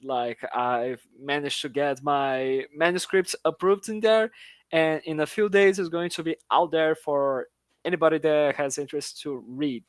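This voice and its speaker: A man's voice: fairly high pitch, steady tone, dry recording. Giggly man with a dry close voice. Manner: laughs often, halting restarts. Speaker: podcast co-host. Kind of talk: casual podcast chat.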